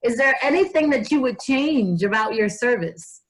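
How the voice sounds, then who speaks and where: Female interviewer, slightly high pitch, informal and halting, rephrases mid-sentence. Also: animated. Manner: halting, rephrases questions as she goes. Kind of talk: casual interview.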